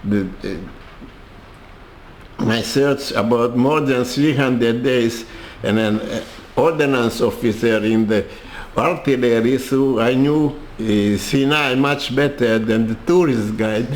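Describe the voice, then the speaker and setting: An older man with a Hungarian accent telling his life story in an interview, halting and restarting his sentences often. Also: medium pitch, roomy sound, slight background noise. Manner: halting, with many restarts and repeated words. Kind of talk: personal storytelling.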